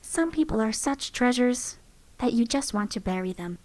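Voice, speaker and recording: A woman voicing a sardonic female game companion with dark, deadpan humor. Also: fairly high pitch, moderate pace, natural voice. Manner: deadpan, mocking delivery.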